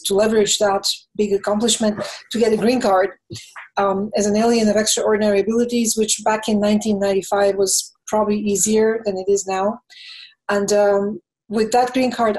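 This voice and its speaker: A woman's voice: mid-range voice, a little mellow, rough recording. Bulgarian-accented woman on a video call. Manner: thoughtful, fills pauses with "you know". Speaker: film and game composer, guest. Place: home room with bookshelves. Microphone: webcam on video call.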